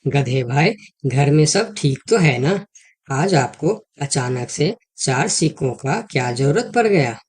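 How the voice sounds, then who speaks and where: A man's voice: fairly high pitch, expressive, takes it slowly, breathy edge, full character voice. A man voicing a cautious, caring deer who advises a friend in a children's cartoon story. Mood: curious. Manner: concerned, advising.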